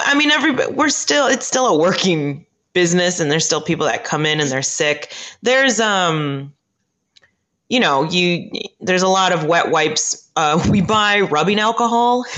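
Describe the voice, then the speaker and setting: A woman who works as a late-night radio host, chatting as a podcast guest, halting and laughing often as she talks. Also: on the low side, fairly bright tone. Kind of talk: casual chat.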